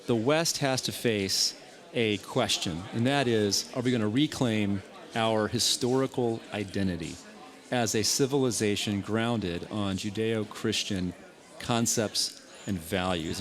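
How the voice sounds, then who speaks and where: A man from Texas, a guest on a radio show, explaining his points in a measured, articulate way. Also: on the low side. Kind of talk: interview answers.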